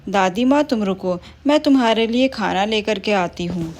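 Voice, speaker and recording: A woman voicing a gentle, pleading mother bird. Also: medium pitch, moderate pace, natural voice. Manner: pleading, soft.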